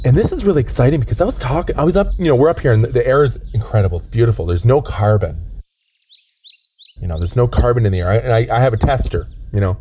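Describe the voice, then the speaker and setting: Man, hoarse with a cold, rushed rambling deadpan comic host, low dark voice. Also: slight background noise. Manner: rambling, stammering, earnest. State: raspy from a chest cold. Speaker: comedy co-host. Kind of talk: deadpan improvised comedy chat. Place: outdoors on a lookout.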